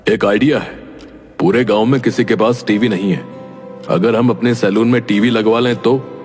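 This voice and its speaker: A man's voice: on the low side, fairly even intonation, moderate pace, a little mellow, husky, long reverb tail, soft background music. A man voicing a loyal, scheming barber's sidekick in a cartoon story. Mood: conspiratorial. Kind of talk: Hindi cartoon moral-story acting.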